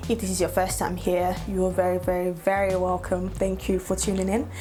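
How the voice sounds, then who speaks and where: A woman's voice: fairly low pitch, completely flat delivery, a little fast, dark tone, soft background music. A woman, talking casually to her camera and laughing often as she chats. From Nigeria. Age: 20s. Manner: chatty, laughs often, playful asides. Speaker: YouTuber. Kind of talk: casual get-to-know-me vlog.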